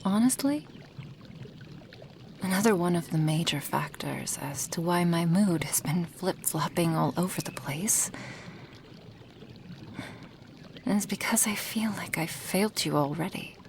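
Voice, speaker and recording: A woman playing a gentle, apologetic female dragon in a soft-spoken ASMR roleplay, breathy and formal in her careful phrasing. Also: on the low side, normal speed, slight background noise, lightly acted. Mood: regretful, subdued. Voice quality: breathy, half-whispered.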